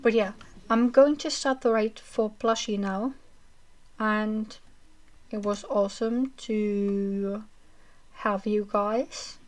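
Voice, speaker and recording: A woman streamer chatting casually with her viewers as she wraps up a livestream, rambling with fillers and a self-described monotonous voice. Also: medium pitch, takes it slowly. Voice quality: monotonous reading voice. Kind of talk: casual chat ending a livestream.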